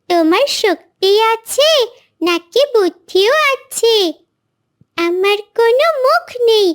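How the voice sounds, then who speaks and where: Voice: very high voice, a bit bright, exaggerated voice. A performer voicing a brave, earnest little orphaned girl bird in a cartoon. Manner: earnest, deliberate. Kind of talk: cartoon character acting.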